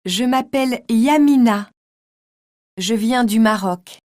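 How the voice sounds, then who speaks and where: A woman reading French textbook dialogue lines slowly and with clear, careful diction. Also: fairly high pitch, darkish tone, no room sound, studio quality. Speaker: textbook audio voice actor. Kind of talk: slow scripted dialogue reading.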